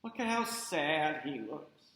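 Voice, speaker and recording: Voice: mid-range voice, big pitch swings, slow pace, a bit bright, very echoey, voice pushed down, low-quality recording. A storyteller voicing an exasperated mother haggling with her son over which toys go.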